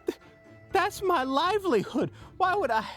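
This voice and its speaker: A man plays a desperate fantasy merchant, talking in a folksy, excitable ramble. His pitch leaps up and down wildly as he pleads, with music playing underneath. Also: moderate pace, full character voice. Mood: pleading. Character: desperate, chatty male merchant who was just robbed. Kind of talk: fantasy audio roleplay acting.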